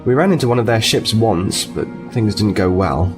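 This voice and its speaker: A man's voice: fairly low pitch, expressive, moderate pace, over music, natural voice. Composed male starship officer played by an actor. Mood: matter-of-fact. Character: seasoned male Starfleet officer, patient and matter-of-fact. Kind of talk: audio drama acting.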